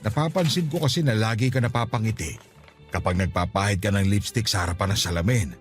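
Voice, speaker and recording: A man's voice: on the low side, fast talker, soft background music, close to an everyday voice. A man playing a doting, teasing middle-aged Filipino father and husband in a Tagalog radio drama. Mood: tender. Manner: teasing, sweet-talking.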